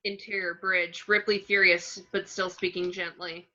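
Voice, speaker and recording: A woman's voice: lowish voice, flat delivery, moderate pace, bright, forceful tone. A woman narrating stage directions from a screenplay in short clipped phrases, on a lo-fi recording. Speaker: narrator reading action descriptions.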